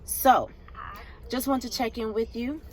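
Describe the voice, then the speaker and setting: A middle-aged woman chatting to her viewers in a car, congested and hoarse from a cold, talking in an upbeat, easygoing way. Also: fairly high pitch, fairly even intonation, a little slow, low-quality recording. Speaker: vlogger. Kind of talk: casual vlog chat to camera.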